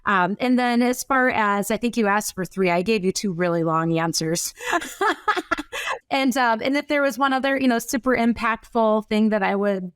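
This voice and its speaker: A middle-aged woman with a northern Wisconsin accent talks in long, eager runs as a podcast guest. Her voice is smooth and clear at an average pitch, and the close mic leaves it dry. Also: dry recording. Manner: chatty and enthusiastic, fast runs of words. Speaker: podcast guest, dairy coach. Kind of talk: casual interview chat.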